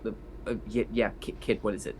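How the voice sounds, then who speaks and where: Male roleplayer, fairly low voice, loose conversational improvising, light background noise. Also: little pitch movement, brisk, a little mellow, rough recording. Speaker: tabletop roleplay player. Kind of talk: casual improvised roleplay chat.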